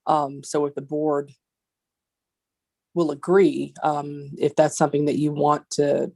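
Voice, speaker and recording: A woman gives careful advice at an online board meeting. Her voice is low for a woman and gravelly with fry. She explains in measured, qualified terms, as an attorney would to a board. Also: warm tone. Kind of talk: advising a formal meeting. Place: virtual meeting.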